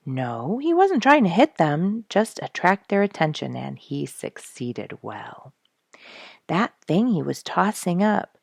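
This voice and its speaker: A woman reading a children's animal story aloud in an expressive, dramatic storyteller's manner. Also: a little high, vocal fry, dry recording, clean studio sound. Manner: dramatic, rhetorical questioning delivery.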